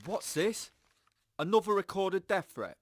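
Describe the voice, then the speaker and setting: A man's voice: sits high, lively intonation, unhurried, no echo, studio quality. A man playing a chatty, blunt radio presenter in an audio drama. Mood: agitated.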